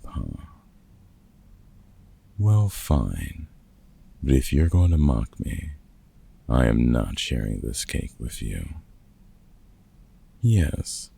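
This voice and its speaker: A man acting out a gruff, sarcastic older vampire hunter who mentors with grumpy care, husky and dryly teasing, in an audio roleplay. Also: rumbling low, dark tone, exaggerated voice. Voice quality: gravelly, husky. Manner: dry, teasing, sardonic.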